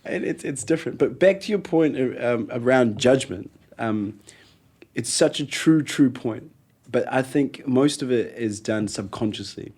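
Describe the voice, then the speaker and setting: A man with a New Zealand accent, a guest in an interview, telling stories in a lively way and acting out what people said. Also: medium pitch, unhurried, breathy edge. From Ethiopia. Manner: animated storyteller, acts out quotes, laughs often. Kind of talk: conversational interview.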